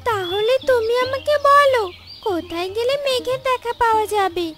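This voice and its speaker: A very high, childlike little bird girl for a children's cartoon. She is innocent and eager, with a pleading way of talking. Music plays prominently behind her. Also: expressive, normal speed. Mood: earnest pleading.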